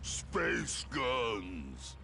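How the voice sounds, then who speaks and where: An actor playing a huge, simple-minded mutant turtle brute who speaks in halting broken sentences with a gravelly voice. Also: male voice, mid-range voice, wildly swooping pitch, shouting, noisy background, exaggerated voice. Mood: grunting, simple. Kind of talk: cartoon video game voice acting. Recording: music behind the voice.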